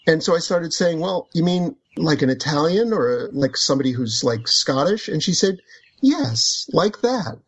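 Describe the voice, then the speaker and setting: A man's voice: fairly high pitch, animated, normal speed, slightly rough. A man, an author, chatting casually about his book, wandering off on tangents and laughing as he talks. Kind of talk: casual book club chat. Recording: dull lo-fi recording.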